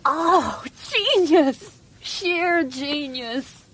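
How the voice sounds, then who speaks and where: A woman's voice: sits high, lively intonation, moderate pace, fairly bright tone. A woman playing a feisty, wry, complaining captive on the run in a radio drama, with theatrical exclamations. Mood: excited. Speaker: actor in an audio drama. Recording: noisy sound-effect backdrop.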